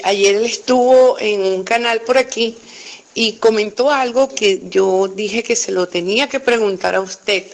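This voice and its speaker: Slow, polite woman asking a question. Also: medium pitch, poor audio. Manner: polite, hesitant. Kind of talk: polite question in an online class.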